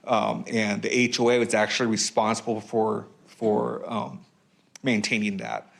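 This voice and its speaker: Gravelly low-voiced man giving public comment. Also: little pitch movement, unhurried. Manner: hesitant, many ums and restarts. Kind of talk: public comment at a council meeting.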